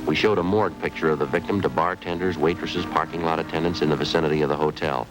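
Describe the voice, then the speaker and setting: A hard-boiled police sergeant with a very deep voice who narrates in short, clipped, factual lines. He talks at a quick clip. It sounds like a vintage radio drama with music running underneath. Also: steady tone, poor audio. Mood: flat. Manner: clipped, matter-of-fact. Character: terse male police detective sergeant, narrator. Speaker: detective narrator. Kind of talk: 1950s radio crime drama.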